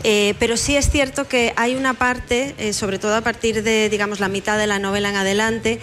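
A woman's voice: fairly high pitch, steady tone, normal speed, fairly bright tone. Woman in a conversational interview, music behind. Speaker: guest novelist discussing their book.

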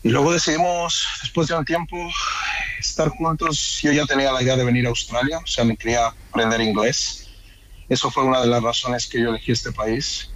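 A man's voice: medium pitch, steady tone, a little fast, a bit bright, slight background noise, poor audio. A man with a Madrid Spanish accent, a restaurant owner being interviewed, talking casually with colloquial question tags and the odd English loanword. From Spain, born in Ecuador and raised in Madrid.

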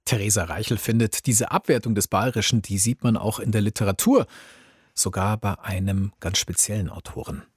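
A man with a husky, raspy voice presenting a radio magazine as its host. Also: lowish voice, steady tone, moderate pace, no room sound, clean studio sound.

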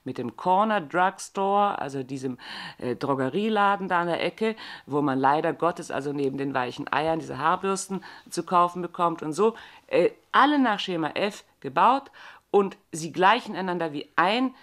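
A woman from Bavaria talks in a measured, reflective way, pausing often to find her words. Her voice sits a little low. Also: lively intonation. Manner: deliberate, with frequent "äh" and "nicht wahr". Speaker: interview guest. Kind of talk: thoughtful conversational talk.

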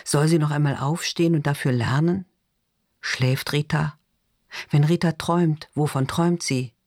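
A man's voice: on the high side, steady tone, normal speed, darkish tone, no room sound. Man reading a novel, dry close sound. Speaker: narrator reading literary fiction. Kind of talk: scripted narration, audiobook reading.

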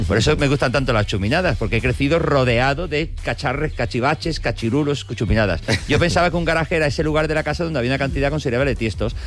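A male radio host who talks quickly and tells stories in a jokey, playful way. His voice is of middle pitch, with faint music underneath. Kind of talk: radio talk show.

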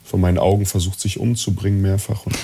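A man from East Germany telling personal stories as an interview guest, reflective and halting, often repeating words. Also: low voice, flat delivery, mellow, husky. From born in East Germany, partly raised in Chile. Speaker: interview guest, actor and coach. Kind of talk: personal storytelling in conversation.

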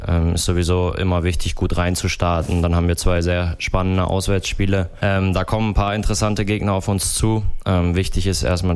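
A male footballer speaks in a low, steady voice with little melody, pausing often with ums. His tone is smooth and clear. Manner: calm, hesitant with frequent ums. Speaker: football player. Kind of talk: interview soundbite.

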